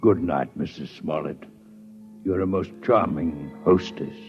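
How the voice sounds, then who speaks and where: An actor playing a formal, detached six-legged alien scientist in a 1950s radio drama. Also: male voice, rumbling low, unhurried, faint music behind. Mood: polite. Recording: muffled old radio broadcast.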